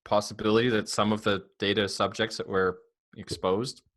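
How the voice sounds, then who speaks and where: A man's voice: lowish voice, flat delivery, normal speed, fairly bright tone. A man co-hosting a podcast with casual, joking banter and interjections. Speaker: podcast co-host. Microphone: headset or headphones setup.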